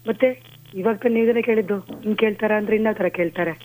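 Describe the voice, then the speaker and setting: A woman's voice: mid-range voice, fairly even intonation, fast, a little mellow. A woman argues on a phone call in a curt, scolding, impatient manner. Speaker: prank call recipient. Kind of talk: argumentative phone conversation. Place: on a telephone call.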